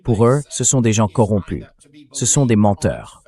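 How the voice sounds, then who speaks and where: This is a man's synthetic dubbing voice with a fairly low pitch. He delivers political commentary in a measured, analytical way, as in a translated interview. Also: sing-song, dark tone.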